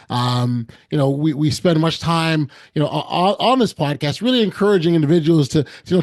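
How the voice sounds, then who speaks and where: A male podcast host with a fairly high voice. He reads his introductions smoothly, but when he talks freely he stumbles over fillers and repeated words. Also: darkish tone. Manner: polished when reading, halting with many fillers when unscripted. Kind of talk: scripted intro then conversational hosting.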